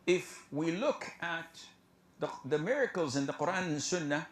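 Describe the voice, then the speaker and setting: A man giving an earnest religious lecture with a slightly high voice. He explains in a steady, lecturing way. The recording sounds roomy and echoey. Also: normal speed. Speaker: preacher.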